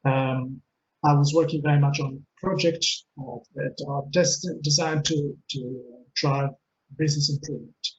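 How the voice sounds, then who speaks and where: A man's voice: a little high, steady tone, unhurried. A middle-aged man from Israel with a mixed Israeli accent, presenting an earnest webinar talk full of hesitations and fillers. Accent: Israeli, mixed with Texan, Scottish and London. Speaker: webinar speaker and coach. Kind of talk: presenting a pre-recorded webinar. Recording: dull lo-fi webinar audio, faint hiss.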